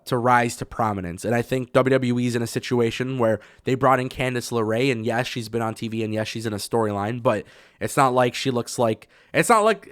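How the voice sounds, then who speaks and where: A man with a cold gives wrestling news in a raspy, mid-pitched voice, chatty and opinionated, full of "uh" and "you know." The sound is dry and close, with no room echo. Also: a bit bright. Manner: chatty and opinionated, with many fillers. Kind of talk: casual news commentary.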